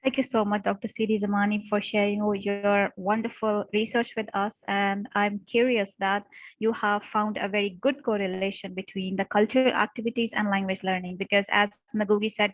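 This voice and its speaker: A woman's voice: medium pitch, no room sound, rough recording. A woman moderating an academic conference session, courteous and formal as she thanks and introduces speakers.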